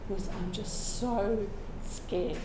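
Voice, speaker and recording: Hoarse Australian woman telling a personal story. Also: medium pitch, fairly even intonation, very slow, reverberant, noisy background. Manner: earnest, reflective, frequent throat clearing. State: hoarse from a cold. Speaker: open mic storyteller. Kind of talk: personal story told to a live audience. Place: room with a live audience.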